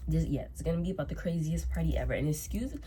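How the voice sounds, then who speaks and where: A woman in her twenties talks in a low, mellow voice, her nose stuffed up from a cold. She rambles through a casual storytime, circling back and repeating herself while she does her makeup. A faint hum sits behind her. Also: fast. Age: 20s. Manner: rambling, repeats herself, loose slangy talk. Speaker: vlogger.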